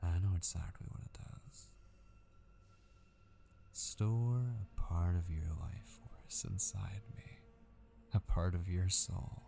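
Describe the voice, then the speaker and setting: A deep, crackly-voiced male captor played by a man in an ASMR roleplay, obsessive and menacing. He talks slowly and darkly, taunting his captive and often sinking to a whisper. A faint noise sits behind the voice. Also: vocal fry, warm tone, heavily acted. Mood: smug, taunting. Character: obsessive mortal male yandere kidnapper, menacing and possessive. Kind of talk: intimate ASMR roleplay acting.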